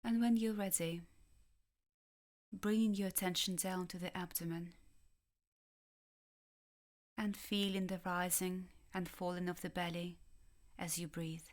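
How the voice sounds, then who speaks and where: Calm woman guiding a meditation. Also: lowish voice. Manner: calm, gentle, measured instruction with long pauses. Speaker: meditation guide, therapist. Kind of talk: guided body scan meditation.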